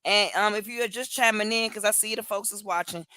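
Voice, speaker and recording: A woman from Cleveland co-hosting a casual livestream chat from home over a video call, animated and laughing often. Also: mid-range voice, fairly quick, clean tone, dry recording. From Cleveland, Ohio. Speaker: co-host.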